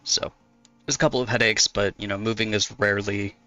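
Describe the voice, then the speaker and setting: A man chatting casually while he draws on a stream, his voice hoarse from talking a lot. He rambles, corrects himself and laughs often. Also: lowish voice, steady tone, a little fast, bright, forceful tone, soft background music. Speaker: artist streaming while drawing. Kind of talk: casual chat answering viewers.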